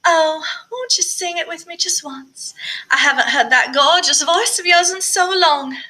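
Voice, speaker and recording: A woman's voice: extremely high pitch, unhurried, pushed and bright, pitched above the performer's own voice, exaggerated voice, poor audio. A woman voicing a cheerful, hopeful, playful mother in an audiobook story. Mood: playful, coaxing.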